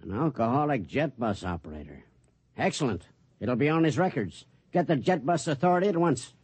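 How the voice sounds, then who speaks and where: A man's voice: medium pitch, lively intonation, brisk, a little mellow, slightly rough, no room sound. A man playing a commanding, gnarled security officer, curt and authoritative, in a 1950s radio drama. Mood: brisk.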